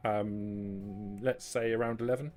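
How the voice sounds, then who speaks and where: A man's voice: on the low side, fairly even intonation, unhurried, darkish tone, soft background music. A man running a tabletop roleplaying game as game master, explaining things casually to his players.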